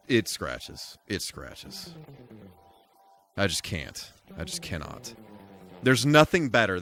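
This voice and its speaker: A man hosting a casual, rambling game livestream, animated and emphatic, repeating himself for emphasis. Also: mid-range voice. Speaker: live streamer and host. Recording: music playing behind the voice. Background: music.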